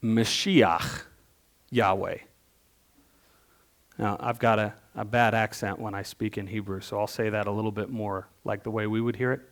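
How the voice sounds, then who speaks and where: A man giving a sermon as worship leader, teaching in a conversational way, asking questions and swinging between emphatic bursts and quiet asides. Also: on the low side, steady tone, moderate pace.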